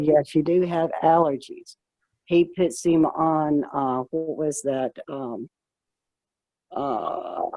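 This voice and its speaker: A woman telling a personal story in a casual group chat, quick and chatty. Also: sits low, dark tone, no echo. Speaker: guest in a wellness group chat.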